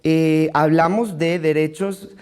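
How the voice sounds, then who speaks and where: A man from Mexico City with a central Mexican accent, answering interview questions in a formal, deliberate, explanatory way, often ending phrases with a questioning tag. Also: on the high side, fairly even intonation, darkish tone, smooth. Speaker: interviewee, cultural rights advocate and political adviser.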